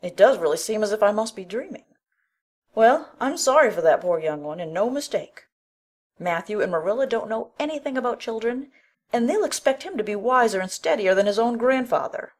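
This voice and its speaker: A woman playing an opinionated, gossipy older village busybody in a dramatic audiobook, with a blunt, scolding, folksy delivery. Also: mid-range voice. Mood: astonished, pitying.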